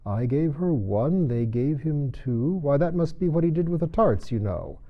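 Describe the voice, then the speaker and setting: A pompous, muddled king acted by a man declaims from the bench in a storybook tale. His delivery swings widely in pitch, on a slightly dull recording. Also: lively intonation, moderate pace. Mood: muddled, triumphant.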